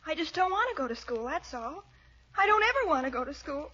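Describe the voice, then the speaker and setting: Mopey teen girl in old radio comedy, high plaintive voice, kinda muffled. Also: very animated, brisk, bright, forceful tone, exaggerated voice. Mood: tearful, self-pitying. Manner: plaintive, dramatic. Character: mopey, self-pitying, sensitive teenage niece. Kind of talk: 1940s radio comedy acting.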